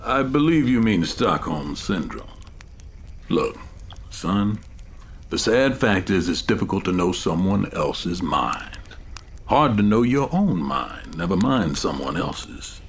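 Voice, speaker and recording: Gruff old-school detective, very low voice, gravelly fry, folksy dry manner, audio drama. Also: male voice, sing-song, slow, a little hiss or hum, full character voice. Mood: wry, measured. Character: gruff, weary, seasoned male police detective. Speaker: actor in audio fiction. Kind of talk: serialized audio drama acting.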